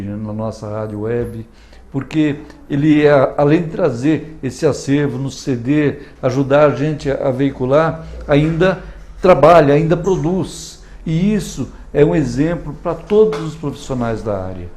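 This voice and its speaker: Mellow-voiced Brazilian man giving testimonial. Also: medium pitch. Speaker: community TV and radio representative. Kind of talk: spoken testimonial.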